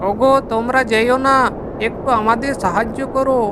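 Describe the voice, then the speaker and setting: High-voiced anxious village labourer played by a man. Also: fairly even intonation, moderate pace, noisy background. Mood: alarmed. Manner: pleading, worried. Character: anxious, pleading male village day labourer. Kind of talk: Bengali cartoon voice acting. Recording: music behind the voice.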